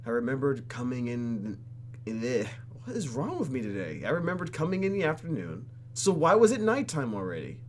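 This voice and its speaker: A man's voice: mid-range voice, big pitch swings, a little fast, slight background noise. A man reading visual novel text aloud for a let's play and reacting to it in a theatrical, exaggerated way. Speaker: YouTube gamer.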